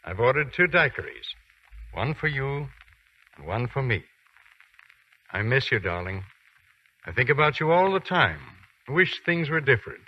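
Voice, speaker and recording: A soldier husband writing home to his wife, his voice soft and intimate at an everyday middle pitch. It comes through a dull, muffled old radio broadcast, in the style of 1940s radio drama. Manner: soft, intimate letter reading.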